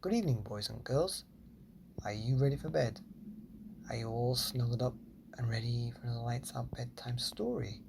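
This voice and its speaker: A man hosting and narrating a children's bedtime story in a theatrical way, with drawn-out emphasis and hushed phrases. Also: mid-range voice, creaky, noisy background. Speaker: podcast host and storyteller.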